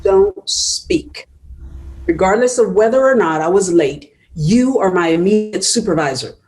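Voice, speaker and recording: A woman's voice: medium pitch, expressive, a little slow, a little mellow, close to an everyday voice, rough recording. An actress reading a shaken but firm teacher who stands up to her supervisor in a table read. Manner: firm, exasperated.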